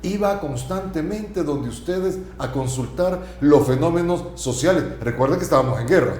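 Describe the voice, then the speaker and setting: Chatty TV host, echoey studio. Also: male voice, a little high, lively intonation, normal speed, a little mellow, slight background noise. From El Salvador. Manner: chatty, frequent tag questions. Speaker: TV talk show host. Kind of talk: conversational TV interview.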